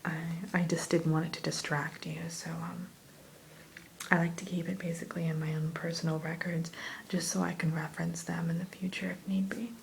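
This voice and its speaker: A woman's voice: on the low side, flat delivery, gravelly, a little hiss or hum, rough recording. Whispering, soothing female therapist played by a woman. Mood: soothing, reassuring. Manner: soothing, gentle, attentive, unhurried. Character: kind, reassuring female therapist welcoming a new patient. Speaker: ASMR roleplay performer. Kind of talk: whispered ASMR roleplay.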